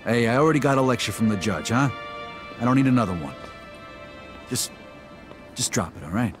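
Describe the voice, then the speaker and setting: An actor playing a streetwise, cocky young inmate, in a video game cutscene. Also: male voice, mid-range voice, lively intonation, brisk, close to an everyday voice. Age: young adult. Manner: streetwise, tough, profane. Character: young male Italian-American inmate, tough and cocky. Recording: music under the voice.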